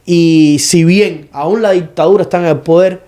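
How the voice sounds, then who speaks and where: A man's voice: on the high side, normal speed, warm tone. A young Cuban man speaking Spanish with a Cuban accent, co-hosting a podcast panel debate in long explanatory turns full of "eh" fillers. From Cuba. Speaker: podcast co-host.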